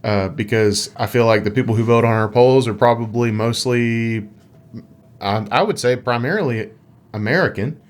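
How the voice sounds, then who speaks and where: A man's voice: mid-range voice, normal speed. Man chatting casually as a podcast co-host. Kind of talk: casual conversational podcast chat.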